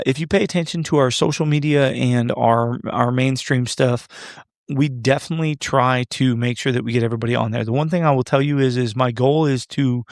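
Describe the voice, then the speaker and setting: A man's voice: medium pitch, no room sound, clean studio sound. A man in his thirties hosting a solo podcast, chatting casually and joking back to live comments. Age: 30s. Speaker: podcast host.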